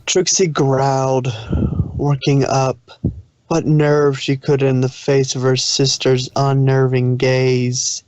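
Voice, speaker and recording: A man reads fan fiction aloud in a medium-pitched voice, narrating the story and now and then tripping over the text. The recording is very dry and a little muffled. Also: flat delivery, takes it slowly, a little mellow, dry recording.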